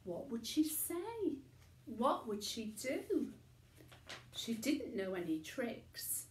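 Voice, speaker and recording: Woman reading a story, slow and echoey. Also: on the high side, big pitch swings, slight background noise. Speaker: storyteller reading a children's book aloud. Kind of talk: expressive bedtime-story narration. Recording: echoey room.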